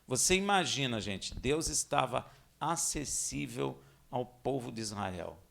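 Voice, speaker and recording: A Brazilian man teaches scripture to his congregation in a conversational sermon style. His pitch swings widely as he moves between emphatic statements and hushed asides, often posing rhetorical questions. The delivery is slow and measured, with a gravelly creak in the voice. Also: lively intonation. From Minas Gerais, Brazil. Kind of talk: expository sermon with scripture reading.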